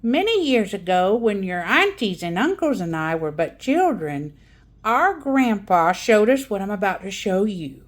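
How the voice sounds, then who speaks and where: A woman's voice: mid-range voice, big pitch swings, measured pace. A woman voicing a spirited old grandmother who tells wondrous tales in a folksy, dramatic storybook style. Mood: hushed wonder. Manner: folksy, dramatic, savoring suspense. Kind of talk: storybook read-aloud character.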